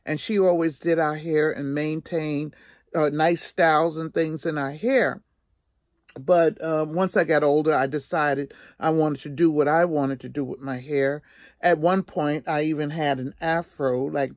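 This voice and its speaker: A woman in her sixties telling a personal story in a casual, chatty vlog style, animated and emphatic, often repeating herself for effect. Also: low-pitched, dry recording, studio quality. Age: 60s.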